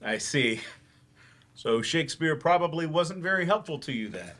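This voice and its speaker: A man playing an older remedial English teacher in a staged play reading, gentle, reassuring and gently self-deprecating as he explains things. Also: on the high side, animated, moderate pace, a little hiss or hum. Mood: earnest, supportive.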